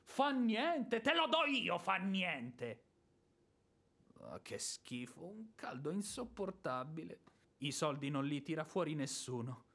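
An actor playing a boorish, hot-tempered ex-officer creditor in a radio drama, sarcastic and theatrical, moving between muttering and bluster. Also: male voice, on the high side, sing-song, slow pace, gravelly, bright, forceful tone, heavily acted. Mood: angry, stubborn. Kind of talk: radio drama stage acting.

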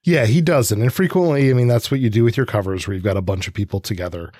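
A man's voice: lowish voice, fast talker, a little mellow, husky, no room sound, studio quality. A man co-hosting a podcast, talking in a chatty, digressive, casual way. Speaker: podcast co-host. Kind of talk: casual rambling discussion.

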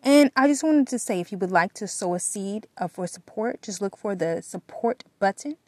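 A woman's voice: medium pitch, animated, normal speed, dry recording. Woman giving earnest devotional talk, dry sound. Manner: earnest, exhorting, repeats phrases for emphasis. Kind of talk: devotional encouragement talk with prayer.

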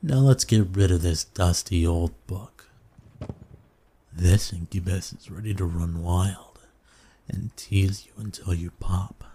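A man plays a seductive male incubus in an intimate ASMR roleplay. His voice is very low and dark, and he takes his time, purring slowly. He is smug and dominant, yet coaxing and soothing. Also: a little hiss or hum. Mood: teasing, smug. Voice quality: low, breathy, husky. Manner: slow, teasing, purring, coaxing with pet names.

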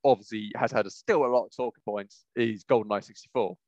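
A man's voice: fairly low pitch, fast, darkish tone, dry recording, highs cut off. A British man co-hosting a podcast in casual chat, rambling and often correcting himself as he goes. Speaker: podcast co-host.

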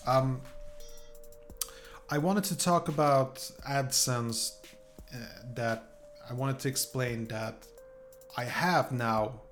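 A man from Sweden, an English speaker, chatting informally and unscripted to his viewers in a halting, rambling way. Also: medium pitch, a bit bright, faint music behind. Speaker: YouTuber. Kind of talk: casual chat to viewers, unscripted.

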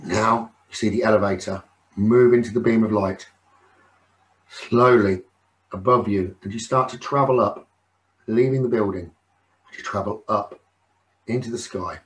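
A man leading a guided meditation in a soothing, unhurried manner with frequent pauses. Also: lowish voice, poor audio. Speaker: meditation teacher.

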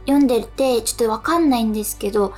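A woman's voice: a little high, quick pace, a little mellow, soft background music. A woman co-hosting a radio show, chatting casually in polite speech. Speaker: radio show co-host, stage actress.

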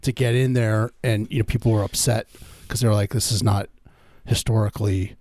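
A man bantering casually as a comedy podcast host, spinning absurd stories in a hesitant, deadpan way. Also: on the low side, brisk.

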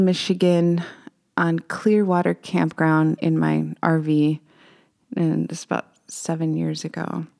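A singer-songwriter woman, a little raspy today, chatting casually between songs and telling rambling stories with fillers. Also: sits low. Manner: rambling, warm storytelling with fillers.

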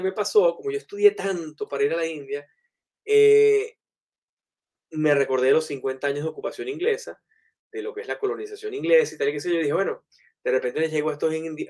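A man tells stories in a loose, animated way, full of fillers and asides. His voice sits a bit higher than most men's. The tone is chatty and conversational. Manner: animated, anecdotal, many fillers. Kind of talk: casual storytelling in conversation.

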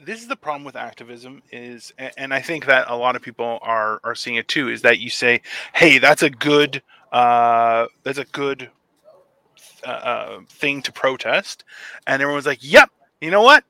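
A man's voice: medium pitch, normal speed, pushed and bright, dry recording, low-quality recording. A man in a casual podcast chat, joking and acting out other people's voices. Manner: joking, acts out quoted voices, laughs often. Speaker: podcast co-host.

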